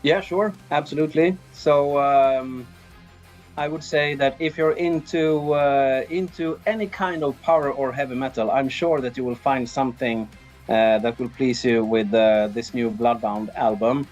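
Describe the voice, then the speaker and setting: A middle-aged man with a Swedish accent answers interview questions casually. He is easygoing and feels his way through sentences at a medium pitch. Faint music sits behind his voice. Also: fairly even intonation, measured pace, darkish tone, dull audio. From Sweden. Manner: hesitant, easygoing. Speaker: interviewed band drummer.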